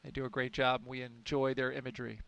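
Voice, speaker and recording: A man's voice: mid-range voice, little pitch movement, fast talker, creaky, fairly bright tone, no echo. A man giving live launch commentary in a chatty, enthusiastic way, with frequent fillers.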